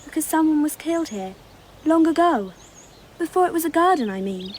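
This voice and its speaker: A woman's voice: sits high, animated, fairly quick, slight background noise. An actress playing a frank, dreamy twelve-year-old girl with an English accent in a radio drama, innocent and matter-of-fact in manner. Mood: matter-of-fact, eerie. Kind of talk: broadcast radio drama acting.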